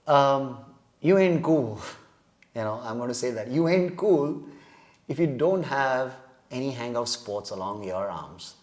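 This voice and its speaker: A man, a guest telling rambling jokes in a casual chat and laughing often. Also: fairly low pitch. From India. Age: 30s. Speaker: podcast guest.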